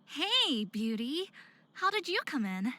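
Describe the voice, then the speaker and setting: An actress playing a sensitive, anxious young hybrid woman in a visual novel, introspective and expressive. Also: high-pitched, slow pace, exaggerated voice. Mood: gentle. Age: young adult. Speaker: lead character narrator. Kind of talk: visual novel voice acting, soliloquy and diary narration.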